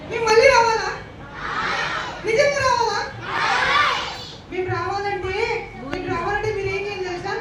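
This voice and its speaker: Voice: very high-pitched, steady tone, normal speed, long pauses. A performer voicing a playful child puppet that teases and repeats questions to children in a live puppet show. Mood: playful teasing. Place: large church hall puppet show, children around. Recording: echoey noisy hall, far from mic, crowd.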